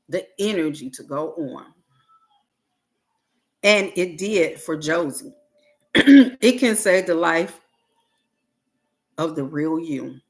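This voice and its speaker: A woman reading a self-help book aloud on a livestream, in deliberate, halting phrases with pauses. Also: fairly low pitch.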